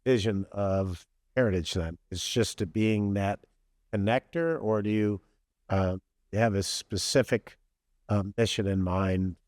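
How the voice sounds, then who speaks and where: A man hosting a podcast in a relaxed way, with many hesitant ums and uhs. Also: lowish voice, measured pace, dry recording, studio quality. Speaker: podcast host. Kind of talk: casual podcast interview.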